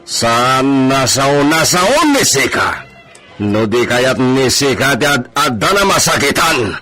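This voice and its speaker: A man's voice: mid-range voice, big pitch swings, slow, pushed and bright, faint music behind, exaggerated voice. A man acting a cruel, domineering rich landlord in a radio drama, with a commanding, menacing delivery.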